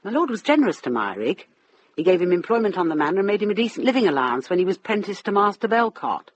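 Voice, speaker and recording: A woman acts a middle-aged widow in a radio drama, mistress of a troubled household. Her pitch moves freely as she explains earnestly. The recording is muffled, with faint noise behind it. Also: big pitch swings, quick pace, close to an everyday voice. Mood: earnest, explaining. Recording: muffled band, noticeable hiss.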